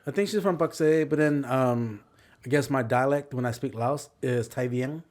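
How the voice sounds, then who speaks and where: A man with a medium-pitched voice co-hosts a podcast. He chats informally and haltingly, starting and restarting his thoughts. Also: darkish tone. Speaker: podcast co-host. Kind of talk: casual podcast chat.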